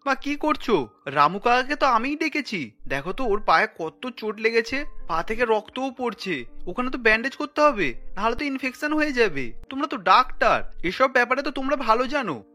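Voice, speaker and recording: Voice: mid-range voice, sing-song, a little fast, bright, forceful tone. An actor playing a kind, principled young son in a cartoon, speaking earnestly and firmly as he reasons. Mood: concerned, firm. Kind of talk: cartoon dialogue acting. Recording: light music under the voice.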